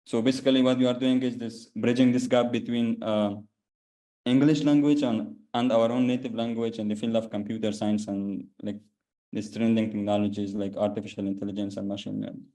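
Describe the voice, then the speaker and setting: A man's voice: on the low side, flat delivery, a little fast, warm tone. A young man from Afghanistan, a native Persian speaker, presenting his project on a video call with many fillers and restarts. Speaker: program graduate presenting a project. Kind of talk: online presentation.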